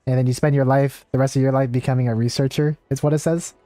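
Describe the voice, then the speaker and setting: A man's voice: mid-range voice, fairly even intonation, fast talker, warm tone, no echo. A man livestreaming a game, chatting casually with viewers in a rambling, hesitant way. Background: faint game music.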